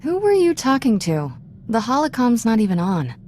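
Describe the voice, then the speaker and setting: A woman's voice: on the high side, sing-song, moderate pace, darkish tone, slight background noise. A woman playing a brash, confident young Jedi companion in a video game, blunt, informal and self-assured. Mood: curious, ready. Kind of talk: video game character acting.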